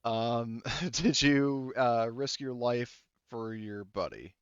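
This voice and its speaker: Smooth-voiced laid-back game master. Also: male voice, medium pitch, animated, slow pace, no echo, lo-fi sound. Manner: rambling, filler-heavy, laid-back. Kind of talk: casual tabletop game session talk.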